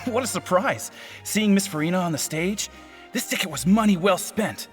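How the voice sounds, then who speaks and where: A man's voice: sits high, animated, normal speed, music in the background. A man playing an eager, delighted theatergoer in a video game. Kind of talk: English game voice acting.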